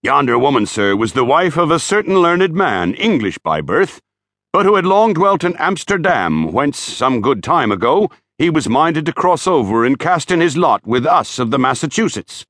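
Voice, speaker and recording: A man's voice: a little high, very animated, moderate pace, a bit bright, dry recording, studio quality, voice pushed up, heavily acted. A man voicing a talkative, self-righteous Puritan townsman in an audiobook, speaking in an emphatic, old-fashioned, declamatory way. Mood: gossipy, emphatic.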